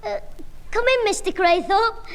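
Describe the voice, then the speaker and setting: Shrill cheeky Northern English schoolboy. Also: unhurried. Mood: cheeky wheedling. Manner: cheeky, glib, Northern colloquial phrasing. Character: cheeky scheming schoolboy, quick with excuses. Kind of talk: 1960s radio comedy acting. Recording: hissy old radio comedy, reverberant hall.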